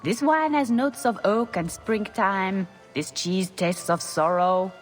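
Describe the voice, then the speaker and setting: An actress playing a hesitant, gossipy castle servant in a video game, speaking earnestly. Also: female voice, mid-range voice, slow pace, natural voice. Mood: matter-of-fact. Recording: long echo, faint background music.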